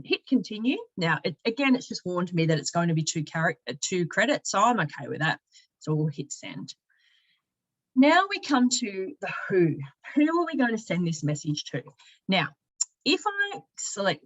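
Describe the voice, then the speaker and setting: Woman, fairly low voice, informal product demonstration, step-by-step explaining, conversational presenter. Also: a bit bright, no echo, low-quality recording. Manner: informal, explanatory, talks listeners through each step. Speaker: sales and marketing director giving a product demonstration. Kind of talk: conversational software walkthrough.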